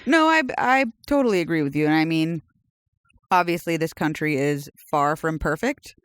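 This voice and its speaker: Podcast co-host, earnest and affirming, smooth clear voice, dry close recording. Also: mid-range voice, lively intonation, fairly bright tone, no room sound. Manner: earnest, affirming, quick backchannels. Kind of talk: casual chat.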